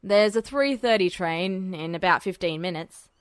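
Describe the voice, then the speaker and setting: A gruff, kindly policeman and family man voiced by a woman storyteller, pitched low with a smooth, clean tone. He talks casually and wryly, like a fellow conspirator in an audiobook scene, very close and dry. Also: normal speed, no echo, close to an everyday voice. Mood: conspiratorial. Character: gruff, wry, kindly male police officer and father. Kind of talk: fan fiction audiobook character voice.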